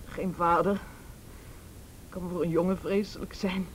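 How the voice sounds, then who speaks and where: A woman acting a distraught, defensive mother, halting and pleading, in a Dutch radio play. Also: lowish voice, little pitch movement, a little hiss or hum, lightly acted, low-quality recording. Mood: anxious, defensive.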